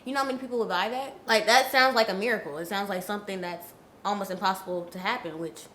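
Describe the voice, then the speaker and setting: A woman's voice: medium pitch, fast, a bit bright, echoey room, a little hiss or hum. A young woman with a stuffy nose from a cold, giving frank, chatty hair care advice straight to her viewers. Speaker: natural hair vlogger. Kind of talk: casual advice vlog.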